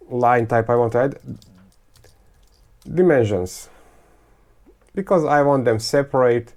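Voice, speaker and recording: Gravelly-voiced man. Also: medium pitch, a little mellow. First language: Croatian, Serbian and Hungarian. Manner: thinking aloud, halting, many fillers and restarts. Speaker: teacher. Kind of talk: screen-recorded software tutorial.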